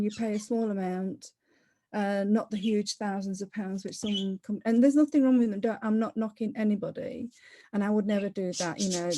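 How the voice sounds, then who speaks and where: Mellow-voiced middle-aged woman with a Leicester accent. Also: medium pitch, a little hiss or hum. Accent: Leicester English. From born in Kenya, raised in Leicester, England. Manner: chatty, rambling, many restarts. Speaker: interview guest, property investor. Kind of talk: casual interview chat.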